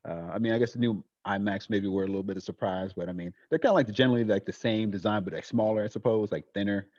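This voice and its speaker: A man co-hosting a casual podcast chat, hesitant with many fillers and quick to laugh. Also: on the low side, darkish tone, no echo, lo-fi sound. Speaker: podcast co-host.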